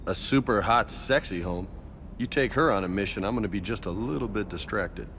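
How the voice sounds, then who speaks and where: A young soldier in a science fiction game. His voice is low, with a brash, casual, slangy delivery. A faint engine hum sits behind him. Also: brisk, fairly bright tone. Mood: playful. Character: cocky, easygoing young male space marine. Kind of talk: video game voice acting.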